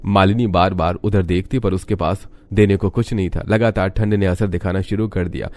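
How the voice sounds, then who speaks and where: A man's voice: low voice, steady tone, moderate pace, a little mellow, a little hiss or hum. A man reading scripted narration as the narrator of a cartoon story.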